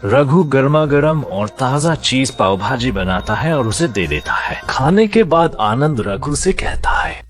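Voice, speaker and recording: A man's voice: mid-range voice, very animated, unhurried, few pauses. A man narrating a scripted cartoon story as a storyteller. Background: faint music.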